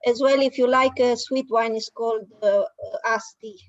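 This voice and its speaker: A woman's voice: fairly high pitch, fairly even intonation, normal speed. A young woman from Romania with a Romanian accent, chatting casually on a group video call. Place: indoor room at home, on a video call. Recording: muffled, dull video-call audio.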